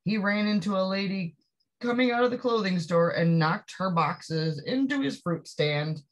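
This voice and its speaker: A woman reading a picture book aloud to young children, expressively, with drawn-out phrases and chatty asides that put questions to the listener. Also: mid-range voice, animated, low-quality recording. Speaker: storybook reader.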